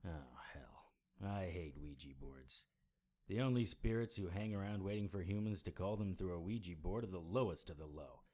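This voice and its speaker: An actor playing a hard-boiled, sardonic occult investigator in a noir audio drama, blunt and world-weary. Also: male voice, deep voice, moderate pace, dark tone. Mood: sarcastic, wary.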